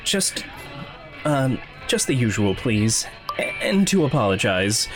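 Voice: mid-range voice, sing-song, slow pace, over music. Nervous, hesitant young man. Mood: nervous, apologetic. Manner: hesitant, stammering, polite. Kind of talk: naturalistic audio drama dialogue.

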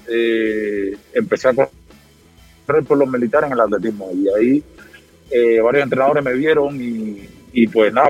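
Cuban man, husky, on a call. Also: medium pitch, dull audio. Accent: Cuban Spanish. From Cuba. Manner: relaxed, rambling storytelling with many fillers. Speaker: podcast guest, former athlete. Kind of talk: casual interview conversation.

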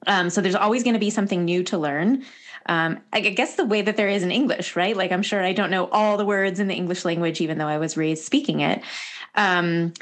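A woman's voice: fairly low pitch, fairly even intonation, a little fast. A woman hosting a podcast, asking long, meandering questions full of ums and restarts. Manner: rambling, restarts, many ums. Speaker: podcast host. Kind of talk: conversational interview questions.

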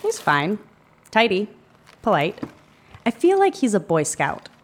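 An actress playing a wry young woman in audio fiction, with a deadpan, wordy way of talking. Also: mid-range voice, measured pace. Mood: wry, casual.

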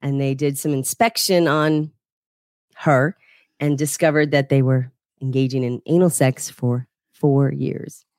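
A woman's voice: rumbling low, steady tone, unhurried, a little mellow, dry recording, clean studio sound. A woman co-hosting a podcast, reading a news story aloud with amused, giggly commentary. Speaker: podcast co-host.

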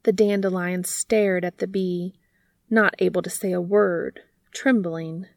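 A woman reading a story aloud in gentle, unhurried scripted narration. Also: on the low side, steady tone, creaky, no room sound, studio quality.

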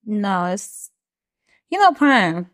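A woman's voice: mid-range voice, very animated, drawn-out words. Laid-back woman. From Switzerland. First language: French. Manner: laid-back, short replies, frequent mm-hmm. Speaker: guest. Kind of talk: casual chat.

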